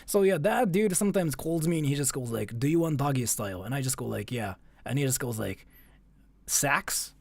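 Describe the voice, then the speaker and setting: A man's voice: medium pitch, lively intonation, normal speed, vocal fry, a little mellow, dry recording. A man from Japan with a Japanese accent, chatting casually and jokingly as a livestream host, informal and rambling.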